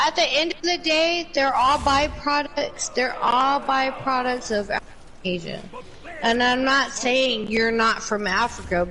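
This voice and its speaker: Blunt, slow-talking woman over crowd chatter. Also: a little high, poor audio. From United States. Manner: blunt, emphatic, profane. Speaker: podcast host. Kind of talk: casual opinionated chat.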